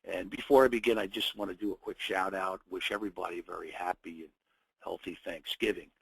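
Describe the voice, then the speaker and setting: Man, webinar host, low voice, raspy, nasal from a cold, dry close sound, friendly, halting. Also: fairly even intonation, no room sound, poor audio. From United States. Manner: friendly, welcoming, speaks in short phrases with fillers. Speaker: webinar host, trading educator. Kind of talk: live webinar presentation.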